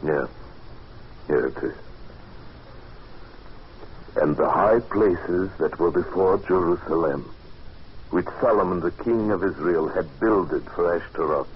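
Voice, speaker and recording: An actor playing a grave, resolute adventurer haunted by what he recounts, deliberate and dramatic, in an old radio horror play. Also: sits low. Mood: solemn. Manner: deliberate, dramatic, halting when moved. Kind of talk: old-time radio narration and acting. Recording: dull, noisy old radio recording.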